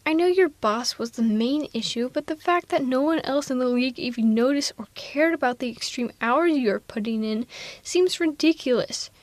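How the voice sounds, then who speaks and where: Woman playing a female supervillain, slightly high pitch, very dry sound, wry, protective, reassuring, conversational ASMR roleplay. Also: dry recording, natural voice. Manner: casual, wry, reassuring, exasperated. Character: female supervillain nemesis, blunt, protective, gently caring.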